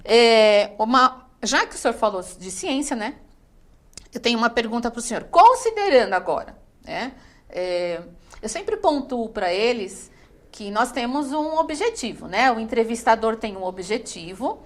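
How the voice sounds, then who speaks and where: A woman's voice: on the high side, expressive, normal speed. A woman teacher hosting an educational interview, asking questions politely and formally with many hesitant fillers.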